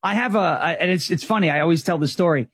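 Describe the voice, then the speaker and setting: A man from New York City with a permanently raspy voice, talking politics on a radio talk show with sarcastic energy. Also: on the high side, quick pace, dry recording, clean studio sound. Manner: animated, sarcastic, does a presidential impression. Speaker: guest commentator.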